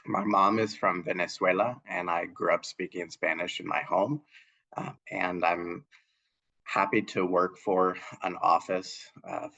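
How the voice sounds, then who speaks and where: A young panelist with a low, gravelly voice gives earnest, measured remarks, with plenty of fillers and restarts. He is heard over a dull, lo-fi video call line. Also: pushed and bright. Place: home room on a video call. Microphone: video call mic.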